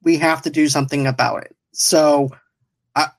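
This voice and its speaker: A man's voice: on the high side, steady tone, moderate pace, gravelly. A man hosting an online pop culture show in casual chat, talking in a rambling, repetitive way.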